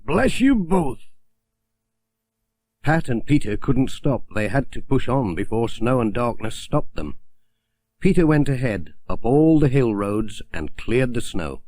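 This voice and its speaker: Man, children's audiobook narrator, mellow tone, very varied intonation, gentle, close dry sound. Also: medium pitch, lively intonation, a little slow, no echo. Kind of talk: scripted children's story narration.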